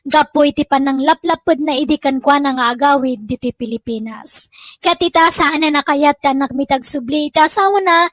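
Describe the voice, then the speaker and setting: A woman's voice: high-pitched, moderate pace, no echo, lightly acted. A woman with a Filipino accent playing a worried, pleading parent of a troubled grown child in a radio-style drama.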